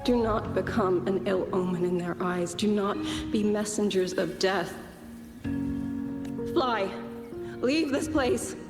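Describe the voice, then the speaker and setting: A woman's voice: medium pitch, takes it slowly, long pauses. An actress playing a solemn, otherworldly woman giving warnings in a game, incantatory, with heavy reverb. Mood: solemn, foreboding. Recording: long cavernous reverb, music behind.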